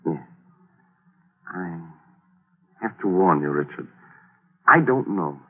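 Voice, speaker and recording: A grave, obsessed scientist in an old radio mystery. His voice sits on the low side, with deliberate, portentous phrasing. The recording is tinny and narrow like a 1940s broadcast. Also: expressive, heavily acted. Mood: ominous.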